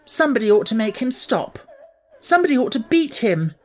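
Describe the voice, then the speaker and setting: A woman voicing a furious, bossy little girl in an audiobook, shouting defiantly. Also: fairly high pitch, very animated, fairly quick, pitched above the performer's own voice.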